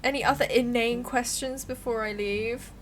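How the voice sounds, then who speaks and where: A woman's voice: fairly high pitch, steady tone, moderate pace, soft background music, lightly acted. A woman voicing a brusque, irritable woman in her twenties, a dismissive cousin in a visual novel game.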